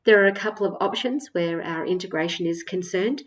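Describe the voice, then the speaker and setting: A woman with a lowish voice narrates a software walkthrough. She explains each step in a measured, semi-scripted way, often pausing on small hesitations as she goes. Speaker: software tutorial presenter.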